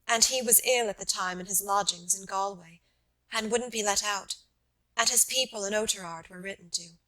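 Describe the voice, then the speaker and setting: A woman narrator plays a young wife mourning a lost love in an audiobook story. Her voice is veiled and sorrowful, with halting phrases and a bright, aching tone. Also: medium pitch, natural voice, rough recording. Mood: veiled sadness. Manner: sad, halting.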